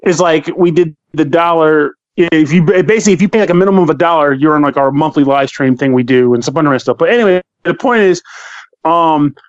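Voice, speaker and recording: A man's voice: on the high side, a little fast, dry recording, muffled recording. A man co-hosting a casual podcast, rambling and swearing freely as he rants and jokes. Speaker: podcast co-host.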